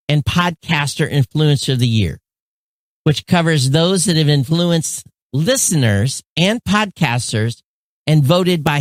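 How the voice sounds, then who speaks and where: A man's voice: on the high side, dry recording, clean studio sound. A man reading out a formal award announcement as the presenter.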